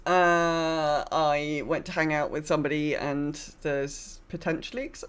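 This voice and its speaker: A woman's voice: low voice, level tone, unhurried, smooth. A woman chatting casually as a podcast co-host. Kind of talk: casual chat.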